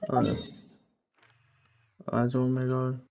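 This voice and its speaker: A man's voice: medium pitch, completely flat delivery, slow pace, vocal fry, lo-fi sound, a little hiss or hum. A man giving casual gameplay commentary, muttering hesitant reactions as he plays. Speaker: gamer. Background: game music.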